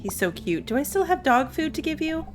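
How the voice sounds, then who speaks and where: A woman's voice: high voice, big pitch swings, a little fast, faint music behind. A woman hosting a gaming video with casual, amused running commentary. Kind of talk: casual let's play commentary.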